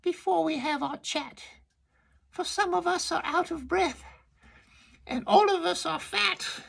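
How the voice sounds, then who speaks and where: Nervous little oysters. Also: male voice, measured pace, creaky, a bit bright, exaggerated voice. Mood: anxious. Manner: squeaky, anxious falsetto. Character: fretful little young oysters, squeaky chorus.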